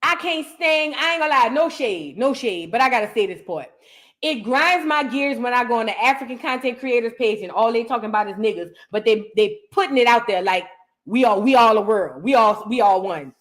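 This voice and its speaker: Woman hosting a live, chatty and animated, kinda high voice. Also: a little fast. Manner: chatty, animated, reads out viewer super chats. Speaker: livestream host. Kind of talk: casual livestream reaction chat.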